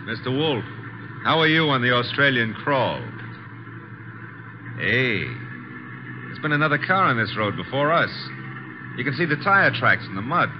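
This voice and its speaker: An American actor playing a breezy, wisecracking detective's assistant in a 1950s radio drama. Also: male voice, mid-range voice, animated, measured pace, long pauses, natural voice. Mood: wry, observant. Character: wisecracking, easygoing male detective's legman. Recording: noisy, muffled vintage radio recording.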